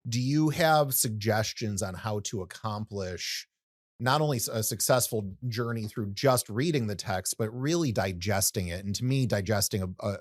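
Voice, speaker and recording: A man's voice: lowish voice, dry recording, studio quality. A man hosting a podcast, asking long, rambling questions in a friendly way. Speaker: podcast host. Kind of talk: interview conversation.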